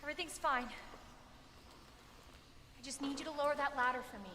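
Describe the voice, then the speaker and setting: Gutsy young heroine, high, reverberant. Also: female voice, steady tone, brisk, pushed and bright. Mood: gentle, reassuring. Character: gutsy, mouthy young woman fighting monsters, protective of children. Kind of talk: cinematic horror game acting. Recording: long reverb, clear background noise.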